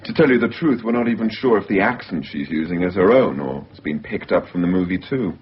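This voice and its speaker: A measured male hospital clinician in a radio drama. His voice sits very low, and he explains things in an understated, matter-of-fact way. The sound is somewhat muffled and lo-fi. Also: lively intonation, slightly rough, exaggerated voice. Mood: explanatory. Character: measured male hospital mental-health worker briefing a colleague. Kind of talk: naturalistic radio drama acting. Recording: dull lo-fi radio recording.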